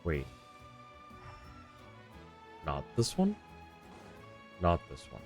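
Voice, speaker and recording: A man's voice: low-pitched, huge pitch swings, very slow, darkish tone, faint music behind. A man giving casual live commentary as he streams a game, often muttering to himself.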